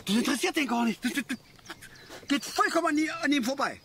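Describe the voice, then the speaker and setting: A man's voice: extremely high pitch, animated, brisk, creaky, background noise, heavily acted. An actor playing a cantankerous, opinionated middle-aged father and angler in a radio play, lecturing and easily worked up. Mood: agitated, ranting. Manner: blustering, lecturing, repeats words in agitation. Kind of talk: naturalistic radio play acting.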